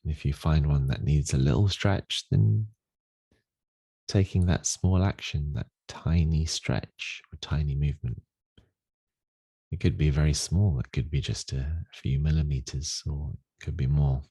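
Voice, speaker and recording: A man leading a guided meditation in a gentle, unhurried, soothing manner with long pauses. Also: very deep voice, gravelly, breathy edge, no room sound. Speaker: meditation teacher.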